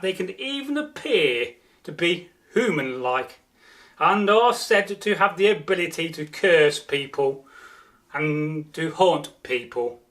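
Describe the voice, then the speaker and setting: A man telling a folktale as a storyteller, deliberate, with dramatic pauses and repeated words for emphasis. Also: sits high, fairly bright tone. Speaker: storyteller, channel host.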